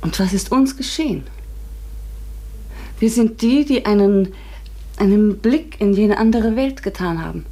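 An actress playing a reflective schoolteacher in a German radio drama, speaking in a measured, earnest, wondering way. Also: female voice, medium pitch, animated, warm tone. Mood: searching, earnest. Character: reflective female schoolteacher recounting a mysterious encounter. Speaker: narrator and lead actress.

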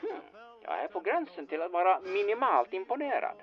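A man's voice: high voice, big pitch swings, highs cut off, faint music behind. A man playing a bossy, scheming small-time fixer in an audio drama, insistent, sardonic and conspiratorial. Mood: conspiratorial, wry.

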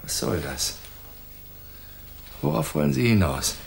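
An actor playing a hard, suspicious veteran homicide inspector in a radio play, gruff and probing, with a gravelly voice. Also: male voice, mid-range voice, big pitch swings, measured pace, a little hiss or hum, heavily acted. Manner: gruff, probing, tough-talking. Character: hard, cruel, suspicious male homicide police inspector, old hand.

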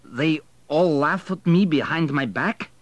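A man's voice: on the high side, a little slow, no room sound, pitched above the performer's own voice, lightly acted. Man playing a proud young Polish suitor, earnest. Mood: hurt, indignant. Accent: slight Polish. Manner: formal, earnest, pleading.

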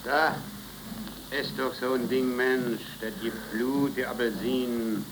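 Casting: actor as cheeky, grumbling jobless workman, middle pitch, faintly noisy old radio play. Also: steady tone, slow, lightly acted. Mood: needling, blunt. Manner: colloquial, grumbling, blunt. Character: grumbling, cheeky unemployed working-class roommate. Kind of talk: 1930s radio acting. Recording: noisy vintage 1930s radio recording.

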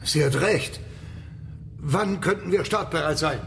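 High-pitched, gruff, defiant commander. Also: male voice, a little slow, slight background noise. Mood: decisive. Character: gruff, defiant, commanding male leader of a spaceship venture. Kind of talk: German radio drama acting.

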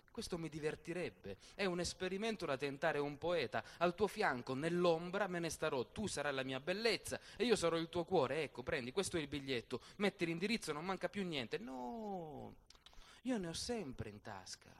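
A man playing a proud, witty, wounded poet swordsman on stage, theatrical, deliberate and intense. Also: fairly high pitch, pitched above the performer's own voice.

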